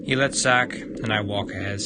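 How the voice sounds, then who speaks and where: A man livestreaming a visual novel, reading its narration aloud haltingly and stumbling over the text. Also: fairly low pitch, fairly even intonation. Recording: very loud background noise.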